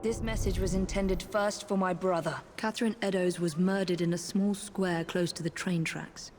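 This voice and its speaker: A woman's voice: lowish voice, fairly even intonation, moderate pace, close to an everyday voice. A woman playing a determined, vengeful young assassin in a video game, with an English accent and a grave, resolute delivery. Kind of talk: video game cutscene acting.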